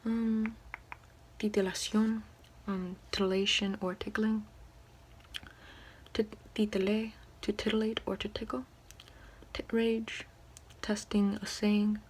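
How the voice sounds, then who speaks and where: Softly whispering woman, slow and even. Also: mid-range voice, low-quality recording. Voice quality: soft, breathy whisper. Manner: slow, halting, sounding out words one by one. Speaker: ASMR creator reading a French dictionary. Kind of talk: ASMR whispered word-by-word reading.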